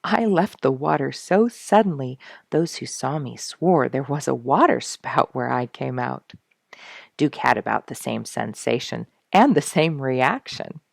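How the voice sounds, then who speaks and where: A woman with a low, slightly creaky voice reads a story aloud. Her delivery is expressive and dramatic, like storybook narration, and the sound is close and dry. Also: unhurried, no echo. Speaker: storyteller reading a book chapter aloud.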